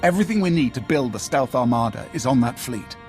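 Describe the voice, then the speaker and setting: Casting: male actor as haughty, impatient military commander, clipped and authoritative, medium pitch, sci-fi game with faint score underneath. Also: lively intonation, quick pace, a little mellow, faint music behind, lightly acted. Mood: briskly commanding. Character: brusque, commanding Imperial military officer, proud and impatient. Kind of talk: video game cutscene acting.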